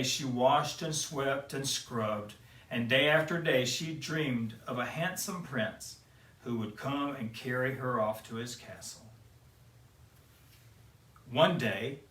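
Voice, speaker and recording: A man's voice: medium pitch, sharp tone, recorded from a distance. Cheerful man reading to kids, echoey room. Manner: cheerful, sing-song, talks directly to children. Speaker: children's storyteller and host. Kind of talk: reading a picture book aloud to kids. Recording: echoey room, away from the mic.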